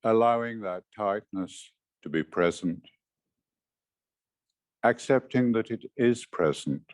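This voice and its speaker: Slow, low-voiced man guiding meditation. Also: no echo. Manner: gentle, measured instruction. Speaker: meditation teacher. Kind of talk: guided meditation, unhurried with short phrases and long pauses.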